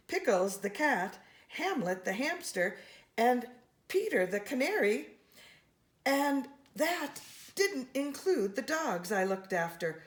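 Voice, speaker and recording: A woman reading a children's storybook aloud in an expressive, animated way, speaking to young listeners. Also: mid-range voice. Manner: expressive, with gasps and brief asides to listeners.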